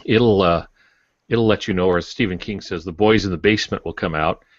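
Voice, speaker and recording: A man's voice: sits low, brisk, dry recording. An older man co-hosting a podcast, giving casual advice in a wry, folksy way. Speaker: podcast co-host. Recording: dull, dry lo-fi audio.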